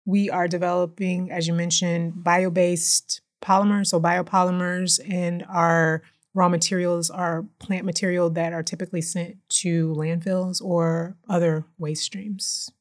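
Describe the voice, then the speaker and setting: Chatty woman guest, lowish voice. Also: flat delivery, measured pace. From Houston, Texas. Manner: chatty, frequently tags sentences with "right?". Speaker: guest, company founder and chemist. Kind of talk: casual interview conversation.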